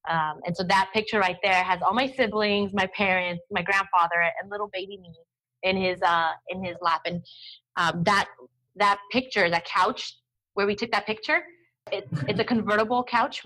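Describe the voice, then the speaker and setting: A woman in her thirties, born and raised in Romania with Romanian as her first language, talks through her family history in a relaxed interview. She is chatty and tells her stories freely. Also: medium pitch, low-quality recording. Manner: chatty, storytelling, laughs easily. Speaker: guest. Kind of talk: conversational interview.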